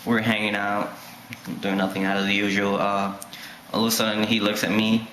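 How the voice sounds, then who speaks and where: Flat-voiced nineteen-year-old man, bright tone. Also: lowish voice, a little fast. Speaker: student interviewee. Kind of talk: mock documentary testimony. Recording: echoey room with faint noise.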